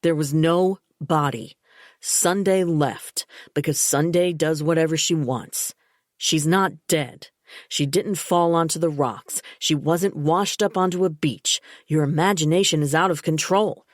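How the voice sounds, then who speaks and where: A calm, patronizing man speaks firmly and slowly, brushing things aside, as a woman reading an audiobook voices him. The voice stays low and level. The sound is dry and close. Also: no room sound. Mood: dismissive. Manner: flat, firm, steady.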